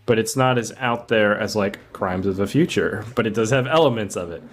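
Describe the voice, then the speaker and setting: A man's voice: fairly low pitch, a little fast, faint music behind. A man co-hosting a film podcast in casual, conversational discussion.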